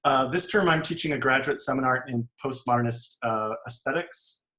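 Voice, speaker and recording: A man who teaches acting, introducing himself on a video call in a relaxed, lightly halting way. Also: medium pitch. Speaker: acting professor.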